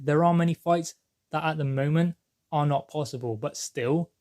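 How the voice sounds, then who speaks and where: Higher-voiced man presenting sports news. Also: a little mellow. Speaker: boxing news channel presenter. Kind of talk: news commentary to camera.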